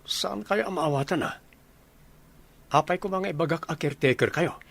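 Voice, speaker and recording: An actor playing an earnest adult son and suitor in a radio drama, with an Ilocano accent. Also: male voice, a little high, normal speed, vocal fry, fairly bright tone, slightly rough, heavily acted. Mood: puzzled, questioning.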